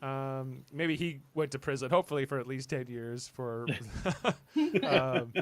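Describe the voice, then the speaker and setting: A man's voice: mid-range voice, lively intonation, unhurried. A man hosting a podcast in casual chat, rambling and hesitant, with a joking way of talking. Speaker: podcast host.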